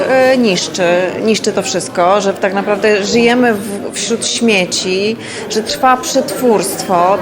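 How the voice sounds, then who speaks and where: A woman with a slightly high voice gives a thoughtful, hesitant interview answer full of filler sounds. Crowd chatter is clearly heard behind her. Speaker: festival curator, interviewee.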